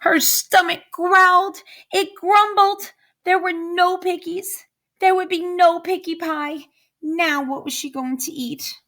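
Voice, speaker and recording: A woman's voice: very high-pitched, steady tone, slow, pushed and bright. A woman reading a children's picture book aloud, emphatic and sing-song in her narration. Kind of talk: expressive children's storybook narration.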